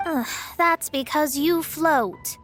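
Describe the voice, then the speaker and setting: A high, youthful female voice for a proud anime game astrologist. She declaims in formal, pompous phrases with confident theatrical flair, over clear background music. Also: takes it slowly, a bit bright. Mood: weary, haughty.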